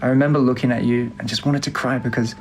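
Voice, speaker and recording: A man's voice: mid-range voice, steady tone, fast, a little hiss or hum, lightly acted. A man playing a heartbroken, nostalgic young man who reads out unsent love letters in a film voice-over, confiding and wistful. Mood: tender, nostalgic.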